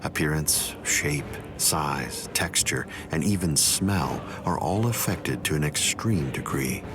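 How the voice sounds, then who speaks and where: A man voicing a calm, coldly condescending villainous captain in an anime game, with measured, composed delivery. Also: extremely low pitch, noisy background, exaggerated voice. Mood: cold, smug. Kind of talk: English voice acting for anime game cutscene. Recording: dramatic music behind the voice. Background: loud music.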